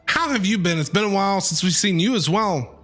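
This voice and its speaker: A man doing live gameplay commentary as a streamer, excitable and thinking out loud. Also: high-pitched, fairly quick, noisy background. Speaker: game streamer. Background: game music.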